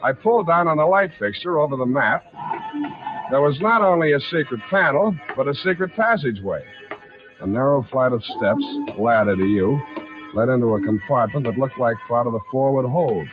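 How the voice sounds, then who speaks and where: Male actor, wry hard-boiled detective, deadpan radio noir narration over music, thin vintage sound. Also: medium pitch, moderate pace, natural voice. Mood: sardonic. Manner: sardonic, deadpan. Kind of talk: 1940s radio acting, first-person noir narration. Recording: old lo-fi radio recording with music.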